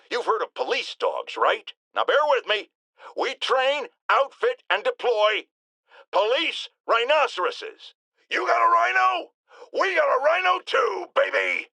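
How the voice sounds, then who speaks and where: A high-pitched, blustering radio ranter. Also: male voice, creaky, bright, forceful tone, no room sound, full character voice. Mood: pitching, then gleeful shouting. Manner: pompous, sarcastic, emphatic, theatrical. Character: blustering middle-aged male radio host, pompous and outraged. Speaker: talk radio host. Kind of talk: ranting radio monologue.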